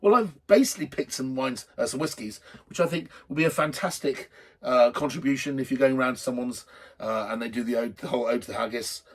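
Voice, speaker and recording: Husky middle-aged man, rambling drinks host. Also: mid-range voice, fast. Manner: rambling, jokey, blunt and sweary. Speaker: host of a drinks video show. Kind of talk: casual, unscripted chat to camera.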